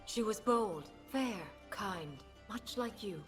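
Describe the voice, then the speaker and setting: An actress playing a wise, gentle, ancient female bird spirit in dramatic fantasy game lines. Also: medium pitch, expressive, slow pace, soft background music. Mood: gentle reverence.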